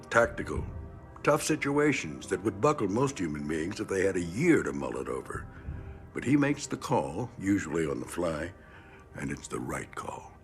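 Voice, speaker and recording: An actor playing a gritty, middle-aged decorated military trainer in an audio drama, gruff and plain-spoken with emphatic, measured praise. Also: male voice, lowish voice, a bit bright. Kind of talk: audio drama interview acting.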